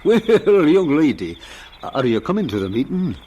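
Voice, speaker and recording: An actor playing a devout, kindly preacher in a radio drama, with a folksy, earnest manner. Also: male voice, on the high side, very animated, moderate pace, dark tone, slightly rough, muffled recording. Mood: genial, devout. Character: devout, kindly visiting preacher, grown man.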